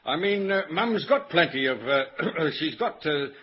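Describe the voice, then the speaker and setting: Bashful country dad, Australian accent, halting and gruff, lively pitch swings, 1930s radio comedy, dull hissy recording. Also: male voice. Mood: flustered, embarrassed. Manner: halting, stammering, throat-clearing.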